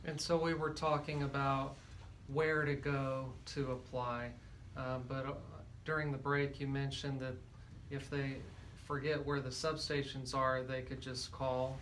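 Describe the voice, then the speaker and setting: A man's voice: mid-range voice, level tone, a little slow, fairly bright tone. An American man hosting a radio interview, asking questions in a conversational way. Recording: faint background noise.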